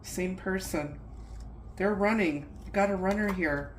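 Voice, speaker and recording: A woman's voice: medium pitch, a little slow, reverberant, slight background noise. A middle-aged woman, a little stuffy from the flu, giving a casual tarot reading and musing aloud over the cards. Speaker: tarot reader.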